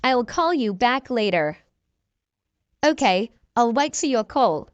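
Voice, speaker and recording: A woman English teacher reads everyday dialogue lines for learners, slowly and clearly. Her voice sits high and swings widely in pitch. She repeats each exchange at an unhurried pace. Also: sing-song, no echo, lo-fi sound. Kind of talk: reading practice dialogues for learners.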